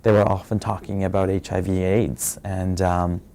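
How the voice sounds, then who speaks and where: Deep mellow-voiced man from Fiji. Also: little pitch movement, creaky. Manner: soft-spoken and reflective, many pauses and fillers. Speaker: interviewee sharing his story. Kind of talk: personal testimony.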